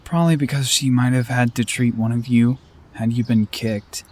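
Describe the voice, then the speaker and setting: A male actor's medium-pitched voice for a plain-spoken member of a pack. The delivery is scripted audio drama, in an everyday voice. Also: fairly even intonation, moderate pace, darkish tone, natural voice. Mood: matter-of-fact.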